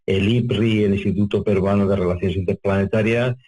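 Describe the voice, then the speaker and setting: An older man from Spain with a fairly low voice speaks as a guest in an interview. He is conversational and reflective. He talks in long, wordy runs, repeating and rewording phrases along the way. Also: steady tone, fairly quick, dark tone, rough recording. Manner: wordy, repeating and rephrasing as he goes. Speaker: interview guest, UFO contactee researcher.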